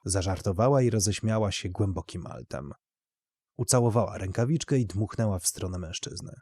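A man's voice: sits low, fairly quick, warm tone, breathy edge, dry recording, clean studio sound. A man narrating literary fiction as a scripted audio story.

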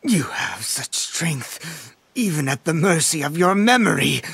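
An actor playing a taunting, cryptic villain in a video game, with a theatrical, mocking, drawn-out riddling delivery. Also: male voice, on the high side, very animated, gravelly, a bit bright, slight background noise, heavily acted. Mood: menacing. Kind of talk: video game cutscene voice acting.

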